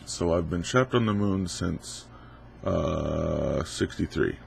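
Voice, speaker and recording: Gravelly young man with a cold. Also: low-pitched, takes it slowly, slight background noise. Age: 20s. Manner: laid-back, rambling, lots of ums and uhs. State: stuffy head cold. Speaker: YouTuber answering viewer questions. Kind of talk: casual Q&A video.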